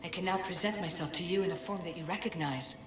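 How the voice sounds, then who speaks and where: Casting: woman to play an ancient oracle-like game spirit, low-pitched, measured and commanding, heard in echo. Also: lightly acted. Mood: solemn, commanding. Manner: solemn, measured, oracular. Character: ancient female spirit in a staff, cryptic and commanding. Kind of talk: video game cinematic acting. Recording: echoey voice over loud background music.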